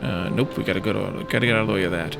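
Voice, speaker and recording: Creaky-voiced man musing over gameplay. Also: lowish voice, racing. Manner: musing, tentative, talking to himself. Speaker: let's play host. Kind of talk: casual gameplay commentary, thinking aloud. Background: music behind the voice.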